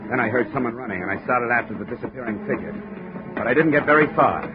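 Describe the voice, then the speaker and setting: An old, dull-sounding radio recording with music behind it. A hard-boiled American investigator, played by a man speaks in a middle-pitched voice, wry and insistent, in classic fifties detective style. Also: fast, lightly acted. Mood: tense narrating. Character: tough, wry, persistent male investigator and narrator. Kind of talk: 1950s radio detective drama with narration. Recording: muffled 1950 radio recording.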